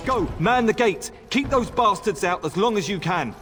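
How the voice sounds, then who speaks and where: Casting: urgent, dutiful knight commander, higher male register, reverberant hall with music, fantasy game. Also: expressive, normal speed, a bit bright. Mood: barking orders. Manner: urgent, commanding, deferential to nobles. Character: loyal, battle-weary castle knight commander. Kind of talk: dramatic fantasy video game acting.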